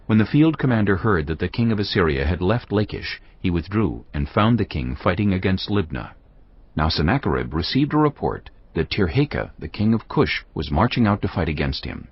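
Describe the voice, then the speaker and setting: Man, low voice, animated scripture narration, husky, scripted, slightly muffled. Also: a little fast.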